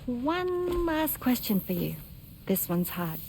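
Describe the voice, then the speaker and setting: A woman's voice: fairly high pitch, very animated, slow pace, a little mellow, a little hiss or hum, heavily acted. A woman voicing a small, playful, childlike companion creature in a video game, cheery in tone. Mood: playful, coaxing. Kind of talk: cinematic game acting.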